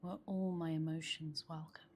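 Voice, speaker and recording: A woman guiding a meditation in a soft, soothing manner, with phrases often trailing into breathiness. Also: deep voice, level tone, normal speed, a little hiss or hum. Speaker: meditation guide. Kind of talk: guided meditation. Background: background meditation music.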